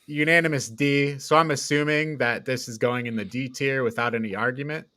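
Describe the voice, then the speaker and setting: A man hosting a casual movie review chat, talking in an informal, halting way peppered with slang. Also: mid-range voice, steady tone, bright, forceful tone, no echo. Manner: informal, halting, frequent "like" and "dude". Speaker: host of a media review podcast.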